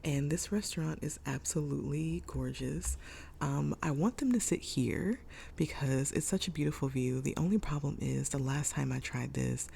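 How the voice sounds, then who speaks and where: A young woman talks through a game session in a low, gravelly voice, made raspy by a cold she is getting over. Her tone is mellow and dark, and she thinks aloud with frequent ums. A faint hiss of noise runs under the mic. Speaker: gaming YouTuber. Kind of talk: casual let's-play commentary. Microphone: desk microphone.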